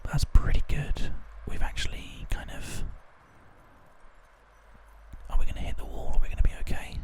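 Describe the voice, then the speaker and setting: Male ASMR gaming host, whispered delivery, gentle rambling explanations. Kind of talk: whispered ASMR game commentary.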